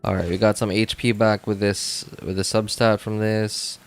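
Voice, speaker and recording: A man's voice: lowish voice, flat delivery, normal speed, clear, resonant tone, soft background music. A man chatting casually on a game livestream, thinking aloud in a rambling way and often repeating himself. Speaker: game streamer. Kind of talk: casual livestream chat while playing.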